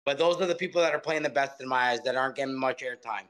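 A man guest on a casual video call, giving measured opinions and advice. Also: mid-range voice, a crowd in the background. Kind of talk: casual chat. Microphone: webcam on video call.

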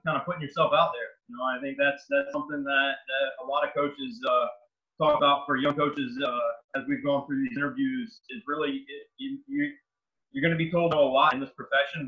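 A man in his thirties hosting an interview series over a video call, friendly and affirming with halting, repeated phrasing. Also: medium pitch, animated. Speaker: interview host. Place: indoor room. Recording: dull lo-fi webcam audio.

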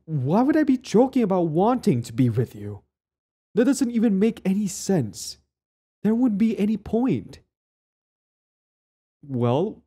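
A man's voice: a little high, warm tone, breathy edge, exaggerated voice. A young man acting a possessive, lovestruck bully in an intimate boyfriend audio roleplay, speaking earnestly with hesitant stammers. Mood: hurt, indignant.

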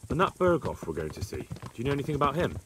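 An actor playing an earnest young commoner who asks plain questions of a noble companion in a video game. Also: male voice, medium pitch, sing-song, very fast, noisy background, close to an everyday voice. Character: earnest young commoner squire, bitter about past. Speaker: game protagonist. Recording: game audio over horse hooves. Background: horse hooves clip-clopping.

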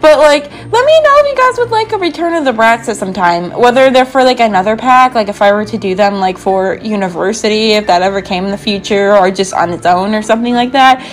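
A woman's voice: on the high side, fairly quick, few pauses. A young woman gaming creator doing a casual, bubbly, rambling voiceover with a slightly sore throat. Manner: bubbly, rambling, frequent "like" and asides. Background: background music.

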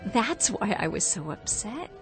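A woman's voice: medium pitch, very animated, measured pace. An actress playing a distraught, pleading yet defiant young woman traveler in a radio drama. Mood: defensive, pleading. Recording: music bed under radio drama.

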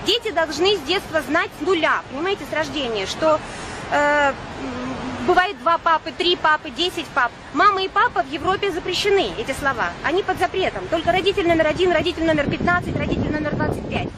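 A woman in her forties, born in Ukraine and raised in Russia, giving an urgent, insistent speech at a street picket and often pausing on drawn-out "uh" fillers. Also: high-pitched, background noise. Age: 40s. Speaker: protester at a picket. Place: outdoor street picket beside traffic.

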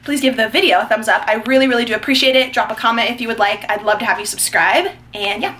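A woman's voice: on the high side, quick pace. A young American woman chatting casually to her vlog camera at arm's length, effusive and slangy. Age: young adult. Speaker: vlogger. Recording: faint background noise.

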